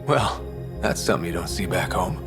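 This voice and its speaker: A man's voice: low-pitched, fairly quick, over loud music, natural voice. An actor playing an easygoing young male space explorer in a sci-fi game, with a casual, dry, understated delivery. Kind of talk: naturalistic sci-fi game acting.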